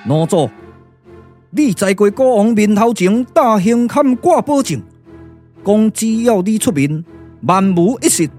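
Bossy ancient king, taiwanese puppet radio play, slow pompous talk, music behind. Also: high-pitched, expressive, darkish tone. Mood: reproachful. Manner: stately, pompous, measured declamation. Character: ambitious, demanding male king of ancient Qin. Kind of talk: Taiwanese glove-puppet radio drama acting.

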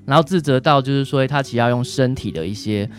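A man's voice: medium pitch, fast, soft background music. A man with a Taiwanese Mandarin accent, a doctor answering as an interview guest, explaining things in a hesitant way with frequent tag particles.

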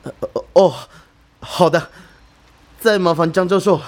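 A man's voice: high-pitched, slow pace. A man playing a preoccupied, probing police officer in an audio drama, speaking hesitantly and tersely. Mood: flustered.

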